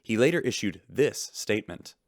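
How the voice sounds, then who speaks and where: An actor playing a polished, wry shipboard radio news reporter in a sci-fi audio drama. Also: male voice, lowish voice, expressive, moderate pace, creaky, no room sound, clean studio sound. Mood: wry, brisk. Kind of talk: scripted radio news report with dry humor.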